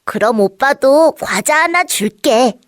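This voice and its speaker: A woman voicing a cheerful, curious little boy in a children's storybook, in an excitable childlike manner. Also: high voice, slow, dry recording, clean studio sound. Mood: playful. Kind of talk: children's storybook character acting.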